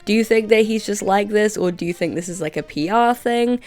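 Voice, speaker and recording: A woman's voice: medium pitch, fairly quick, darkish tone, soft background music. A young woman YouTuber narrating a video essay in a wry, conversational way, just recovering from being sick, on a home mic without a pop filter. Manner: wry, conversational, with filler words in asides.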